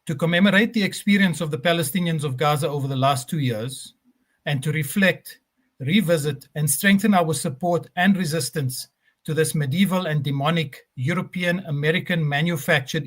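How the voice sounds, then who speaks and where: A man with a fairly high voice hosts a live stream. He delivers a rhetorical opening with repeated phrases, then talks more loosely with ums as he introduces his guests. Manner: fillers and uh, um in unscripted talk. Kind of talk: delivering a scripted opening speech, then hosting.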